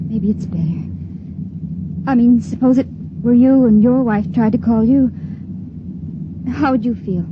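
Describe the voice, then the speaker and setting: An actress playing a gentle young woman stowaway in a 1950s radio drama, speaking softly and wistfully. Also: on the high side, a little slow, pauses often, lightly acted. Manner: soft, wistful and tender. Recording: lo-fi vintage radio with loud hiss.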